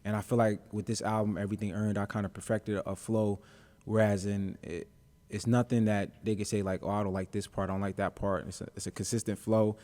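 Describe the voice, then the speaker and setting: A man's voice: lowish voice, little pitch movement, a little fast, warm tone. A young adult man, a rapper being interviewed, talking in a laid-back, easygoing way with plenty of ums and uhs. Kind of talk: casual interview chat.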